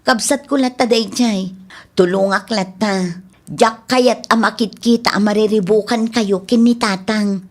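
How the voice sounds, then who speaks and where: A woman's voice: mid-range voice, natural voice. A woman playing a caring, self-sacrificing elder daughter in a radio drama, earnest and concerned. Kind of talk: amateur radio drama acting.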